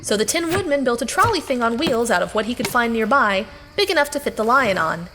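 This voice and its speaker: A woman's voice: a little high, lively intonation, brisk, fairly bright tone, a little hiss or hum. An actress playing a young woman recounting adventures in an audio drama, with chatty, wry asides to the listener. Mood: wry, confiding. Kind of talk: conversational first-person audio drama narration.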